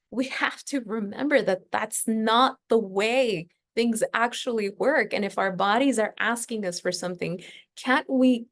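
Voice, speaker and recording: A woman hosting an astrology podcast, speaking unscripted in a reflective, emphatic way with deliberate pauses mid-thought. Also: fairly high pitch. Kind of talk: unscripted spiritual astrology lesson.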